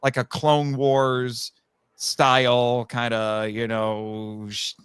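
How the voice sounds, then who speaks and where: A man's voice: medium pitch, flat delivery, drawn-out words, fairly bright tone, clear, resonant tone, no room sound, studio quality. A man talking casually as a podcast guest and audio drama writer, chatty and rambling, with frequent asides.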